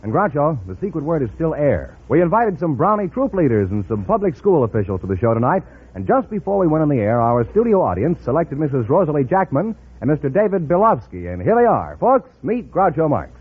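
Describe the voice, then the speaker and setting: A man's voice: fairly high pitch, sing-song, fairly quick, breathy edge, narrow, tinny audio. An American man announcing contestants and scores in the style of a 1950s radio quiz show.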